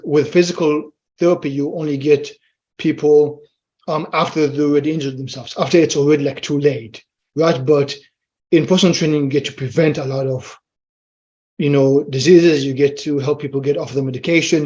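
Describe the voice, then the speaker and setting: An Israeli-accented man speaks as a guest on an interview show, relaxed and conversational. He explains his points plainly and often quotes his own thoughts. His voice sits a little high for a man, and the audio sounds somewhat dull and lo-fi. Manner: easygoing, explains plainly, quotes himself with "I'm like". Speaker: fitness trainer guest. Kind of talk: casual interview chat. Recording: slightly muffled lo-fi audio.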